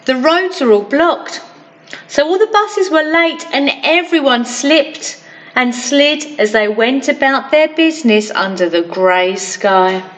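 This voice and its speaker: A woman reading a children's story aloud in an expressive, deliberate way for young listeners. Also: high voice, a little hiss or hum. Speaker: primary school storytime reader.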